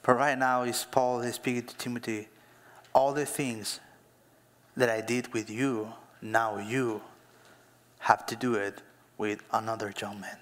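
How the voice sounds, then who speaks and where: A man in his thirties preaching a sermon as a pastor, speaking as an in a slow, emphatic way that builds to louder calls to action. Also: medium pitch, flat delivery. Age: 30s. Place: church hall. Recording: long hall reverb.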